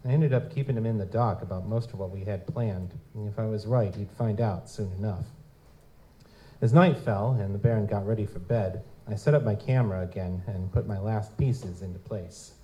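A man's voice: lowish voice, normal speed, mellow, close to an everyday voice, rough recording. A man playing a streetwise, cheeky lutin goblin private investigator, narrating and talking in a casual, wisecracking noir style for an audio drama. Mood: wry, suspenseful. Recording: music behind, live stage performance.

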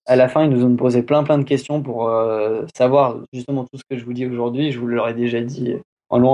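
A man's voice: medium pitch, dark tone. A man from France chatting casually as a podcast guest, rambling with many restarts and fillers. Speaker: guest reporting on a trip.

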